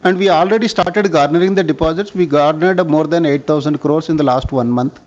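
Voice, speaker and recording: Indian man, higher-pitched, dull call audio. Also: brisk. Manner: formal, often addressing listener as sir. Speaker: bank executive answering analysts' questions. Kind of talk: earnings call question-and-answer.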